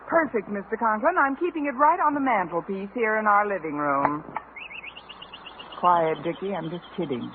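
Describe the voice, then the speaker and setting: A woman playing a quick-witted, sardonic schoolteacher with deadpan asides in a 1950s radio comedy. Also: medium pitch, natural voice. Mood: wry politeness. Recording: scratchy, narrow-band old radio recording.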